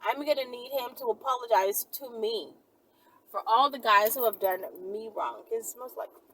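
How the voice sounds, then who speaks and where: A woman's voice: on the high side, normal speed, a bit bright. A woman talking in a casual, chatty monologue, sassy and emphatic, with frequent little check-ins as she answers questions. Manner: sassy, emphatic, often adds "okay?" and "you know". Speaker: video host answering viewer questions. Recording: noisy, distant mic, vehicle-like ambience.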